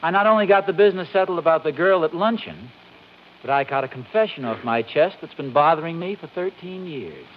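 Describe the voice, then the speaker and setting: Actor as flustered, genial husband, high animated voice. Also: male voice, fairly quick, natural voice. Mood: confiding. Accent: American. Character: genial, flustered sitcom husband, bluffing and teasing. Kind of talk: 1940s radio comedy acting. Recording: dull old radio recording, slight noise.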